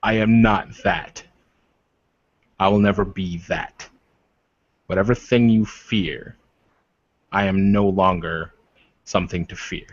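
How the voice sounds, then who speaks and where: A man's voice: deep voice, steady tone. A man playing a protective, proud werewolf warrior leader in a tabletop game, speaking in emphatic declarations. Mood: solemn. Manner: slow, emphatic, declarative speech. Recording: roomy, dull lo-fi microphone.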